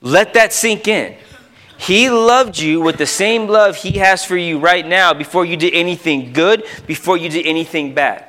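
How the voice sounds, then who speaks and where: A man's voice: high-pitched, normal speed, bright, forceful tone. A man preaching an informal sermon as a youth pastor, speaking emphatically with repeated phrases and conversational asides to his listeners. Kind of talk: informal sermon with scripture quotation and exposition.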